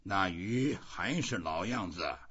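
Male reader as stoic old fisherman musing alone in an audiobook; slow, raspy, dull lo-fi sound. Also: sits low, animated, a bit bright, heavily acted. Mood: resolute, musing. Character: old fisherman, stoic and determined, talking to himself.

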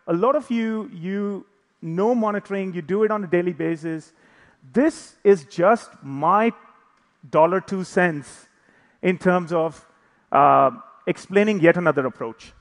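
A man, middle-aged, giving an informal, joking conference presentation from a stage. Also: sits high. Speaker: conference speaker. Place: large indoor conference hall, on stage. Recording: hall reverb from a stage PA.

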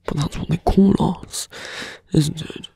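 A man playing a seductive, embittered slum-born villain in a fantasy ASMR roleplay, speaking slowly and intimately in a breathy, gravelly half-whisper. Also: warm tone, lightly acted. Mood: bitter, intimate. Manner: slow, intimate, half-whispered, deliberate pauses.

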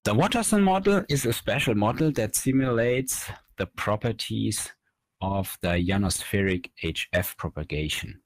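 Man, thirties, deep voice, technical presentation, halting measured explanations, dry close sound. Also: lively intonation, dry recording, poor audio. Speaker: researcher presenting at an online academy. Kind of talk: technical lecture with slides.

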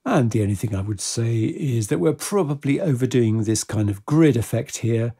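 A man giving a casual step-by-step software tutorial in a measured, explanatory way. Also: medium pitch, animated, husky.

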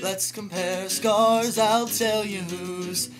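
A teenage boy singing loudly and earnestly along to a backing track in a dark bedroom, into a webcam mic. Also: sits high, fairly even intonation, takes it slowly, over music, rough recording. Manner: loud, earnest amateur singing. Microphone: built-in webcam mic. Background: backing track from a small speaker.

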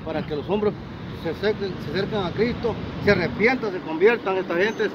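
A man's voice: sits high, brisk. A middle-aged man preaching fervently, answering questions outdoors in a parking lot, recorded on a handheld phone. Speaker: street preacher. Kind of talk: street interview answers. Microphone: phone camera held by interviewer. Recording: dull phone audio with traffic noise. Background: traffic noise.

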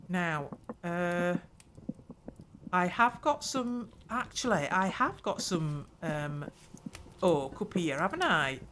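An older woman hosting a gameplay video, muttering her thoughts aloud in an unhurried way. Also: on the low side, background noise. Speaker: gaming channel host. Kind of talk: let's play commentary.

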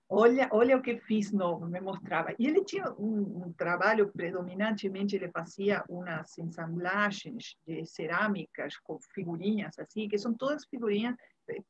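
A woman in her fifties with a Uruguayan Spanish accent, a native Spanish speaker, reminiscing casually as an interview guest in a husky voice over a video call. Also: mid-range voice. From Montevideo, Uruguay. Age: 50s. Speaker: interview guest, curator and art history professor. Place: indoor room, video call. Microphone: earphone headset on video call. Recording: dull lo-fi video call audio.

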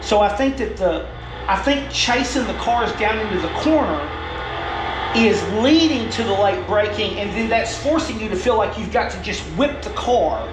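A man's voice: high voice, lively intonation, moderate pace, background noise. A middle-aged man patiently walking a driver through race footage, explaining in a stop-start way at a desk indoors. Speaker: racing coach. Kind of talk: reviewing race footage, coaching. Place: indoor room at a desk with a laptop. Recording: roomy echo with music playing underneath.

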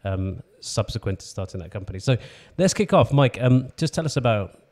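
A man's voice: mid-range voice, lively intonation, brisk, darkish tone. A man hosting a podcast, asking casual interview questions.

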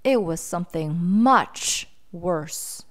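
A woman reading a children's storybook aloud in an expressive, dramatic, deliberate style. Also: on the low side. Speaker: storybook reader.

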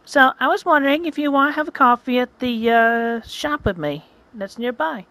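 Young woman played by an amateur storyteller, forward, chatty, raised pitch. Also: lively intonation, moderate pace, a bit bright, no room sound. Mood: friendly. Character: young woman feigning romantic interest. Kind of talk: amateur acted-out story dialogue.

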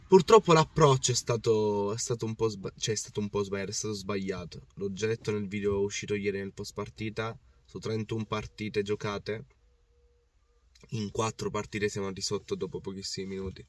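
A man in his thirties with a worn-out, hoarse voice, passionately recapping a football match to camera. He rambles, repeats himself and restarts his sentences, and speaks from a parked car. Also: fairly low pitch, steady tone, fairly quick, no room sound, far from the mic. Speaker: football fan vlogger. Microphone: phone or camera on the dashboard.

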